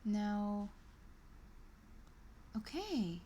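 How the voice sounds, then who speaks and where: A woman's voice: medium pitch, sing-song, very slow, mellow, slight background noise. A woman live streaming as she reads an online course aloud, breaking off into chatty asides and small laughs. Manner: hesitant, many ums and restarts. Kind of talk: casual live-stream reading with commentary.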